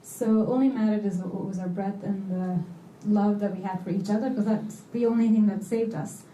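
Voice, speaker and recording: A woman's voice: medium pitch, steady tone, moderate pace, warm tone. A middle-aged woman talking reflectively and earnestly about herself, repeating phrases for emphasis. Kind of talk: personal reflective talk. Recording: echoey room, distant mic, faint noise.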